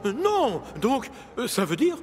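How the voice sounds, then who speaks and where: Cartoon railway boss: authoritative, patient male, high pitch, lively melody. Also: slow pace, exaggerated voice. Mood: bemused, stern. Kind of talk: children's cartoon dub acting.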